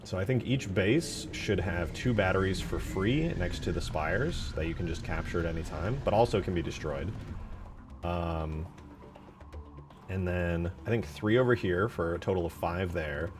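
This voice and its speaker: A man's voice: low-pitched, normal speed. A man streaming casually as he builds a game mod, thinking aloud and answering chat in informal, slangy talk. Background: music behind the voice.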